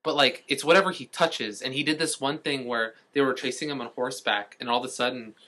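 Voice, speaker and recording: A man with a bright, punchy voice at a middle pitch talks as a podcast co-host. His delivery is casual and enthusiastic, peppered with profanity, like a fan explaining what he loves. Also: steady tone, fast talker.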